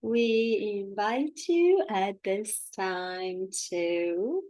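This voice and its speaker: A woman's voice: medium pitch, dark tone, no echo. Woman channeling a serene council of star beings. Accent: Romanian. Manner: very slow, measured, solemn delivery with long pauses. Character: calm collective of loving star beings, speaking as one.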